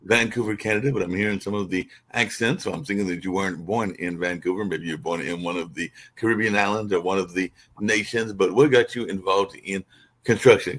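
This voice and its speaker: Very low-voiced male radio host, rambling, chatty questions. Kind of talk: radio interview.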